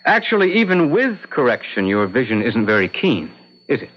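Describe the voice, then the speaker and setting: A man's voice: medium pitch, big pitch swings, normal speed. A man acting a challenging, doubting defense attorney who cross-examines precisely and insistently in an old-time radio drama. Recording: dull lo-fi vintage radio recording.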